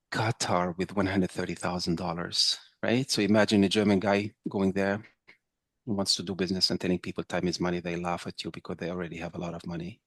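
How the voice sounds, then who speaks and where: Man; chatty trainer lecturing a group; low pitch, dry room. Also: no room sound. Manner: conversational, checks in with "right?". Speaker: intercultural trainer. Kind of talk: workshop lecture with audience.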